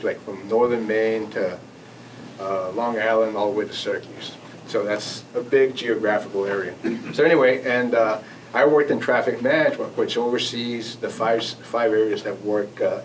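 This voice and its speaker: Low-voiced American man, recorded from afar. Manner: halting, conversational, acting out quoted exchanges. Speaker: guest speaker, air traffic manager. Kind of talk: informal spoken recollection to a group. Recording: distant mic, noisy room ambience.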